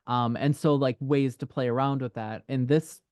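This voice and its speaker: A man working as a dialogue writing coach, talking casually one-on-one and thinking aloud in halting, hedged phrases. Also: mid-range voice, fairly even intonation, darkish tone, no room sound, clean studio sound.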